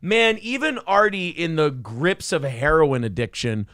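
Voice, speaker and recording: A man's voice: a little high, animated, a little slow, a bit bright, no echo. A man hosting a casual talk show, rambling and profane, breaking into silly quoted voices now and then. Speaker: podcast host.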